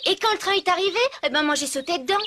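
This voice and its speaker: A woman's voice: very high-pitched, racing, noisy background. An actress voicing a bold, impatient, independent little girl in a cartoon, spirited and self-assured, with music behind her. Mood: cheeky, confident. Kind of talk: French cartoon dub acting.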